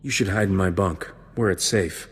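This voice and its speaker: An actor playing a cocky, flirtatious space smuggler in a video game, with a casual, roguish delivery. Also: male voice, low voice, normal speed, slightly rough. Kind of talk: video game cutscene acting.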